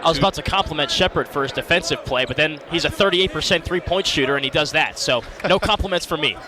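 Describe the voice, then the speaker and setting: A man's voice: on the high side, quick pace, a crowd in the background. A man giving conversational color commentary on a live basketball game, with wry, jokey asides. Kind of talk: conversational live sports analysis. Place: courtside in a large indoor arena. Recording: loud arena noise behind voice.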